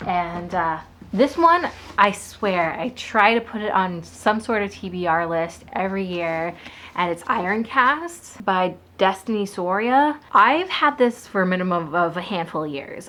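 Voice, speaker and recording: A woman's voice: on the low side, fairly bright tone, slight background noise. A young woman from the Boston area, a book vlogger chatting casually to camera, rambling with lots of asides.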